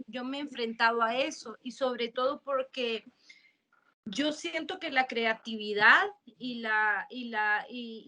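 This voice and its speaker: A woman from Nicaragua with a Nicaraguan accent, a filmmaker in her thirties or forties, thinking aloud on a panel with many halting restarts. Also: fairly high pitch, bright, forceful tone. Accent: Nicaraguan Spanish. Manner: searching, halting, restarts mid-phrase. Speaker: filmmaker asking a question on a panel. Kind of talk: reflective panel discussion.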